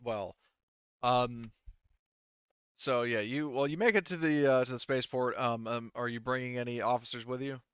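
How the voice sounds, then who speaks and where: Smooth-voiced man game mastering, slightly dull sound. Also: mid-range voice, a bit bright, no room sound. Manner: halting, casual, thinking aloud. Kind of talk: improvised tabletop game narration.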